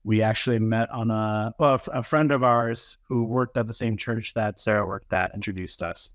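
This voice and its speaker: A man's voice: lowish voice, moderate pace, no room sound, clean studio sound. A man from New Jersey as a podcast guest, telling his life story casually with lots of fillers. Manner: relaxed, filler-heavy storytelling. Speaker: interview guest. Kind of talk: casual interview chat.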